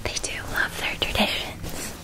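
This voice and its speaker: Woman as a whispering, slow, gentle witch. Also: lightly acted. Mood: caring, gentle. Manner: soft, unhurried, caring, descriptive. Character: gentle, attentive witch outfitting an academy student. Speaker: ASMR performer. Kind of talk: whispered ASMR roleplay.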